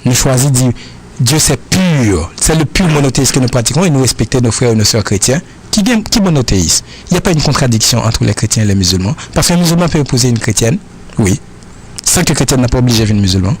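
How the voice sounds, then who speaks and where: A man's voice: mid-range voice, lively intonation, a little hiss or hum. Earnest Haitian man answering questions. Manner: earnest, repetitive, halting phrasing.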